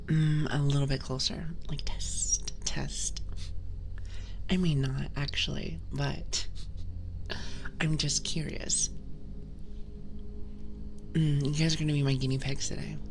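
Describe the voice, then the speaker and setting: Woman, stuffy nose, soft ASMR whisper, low voice, slow unhurried talk, music behind. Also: level tone, gravelly. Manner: soft whispering, chatty toward viewers. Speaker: ASMR streamer. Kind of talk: whispered ASMR livestream chat. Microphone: binaural ASMR microphone.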